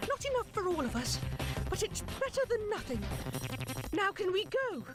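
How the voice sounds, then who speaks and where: A woman playing a no-nonsense adventurer, brisk, direct and wry, in a sci-fi audio drama. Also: very high-pitched, very animated, over loud music.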